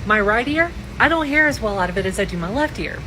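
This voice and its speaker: A woman telling a casual personal anecdote in a deliberate, deadpan way. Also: medium pitch, poor audio. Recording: music behind the voice.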